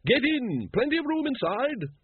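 Man putting on a high, booming posh lady. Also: very animated, slightly rough, phone-quality sound, exaggerated voice. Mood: bossy, hearty. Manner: brisk and commanding.

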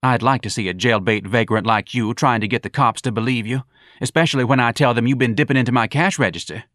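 A man's voice: mid-range voice, fast talker, a bit bright, dry recording, studio quality, voice pushed up, close to an everyday voice. A man voicing a hard, shrewd, threatening female nightclub boss in an audiobook, scornful and commanding. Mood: cold menace. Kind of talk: audiobook character voice.

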